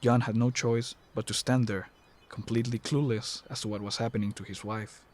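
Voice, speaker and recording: A man's voice: medium pitch, little pitch movement, a little fast, darkish tone, a little hiss or hum. A man reading scripted narration for an audio drama.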